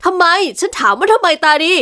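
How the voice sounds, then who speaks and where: A woman's voice: on the high side, very animated, fairly quick. A woman voicing a furious, jealous young man who speaks harshly through gritted teeth, in a storybook reading. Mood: accusing.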